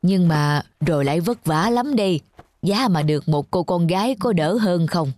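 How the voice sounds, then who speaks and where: A woman voicing a friendly, chatty elderly neighbour with a folksy manner in an animated children's tale. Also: on the low side, normal speed, natural voice.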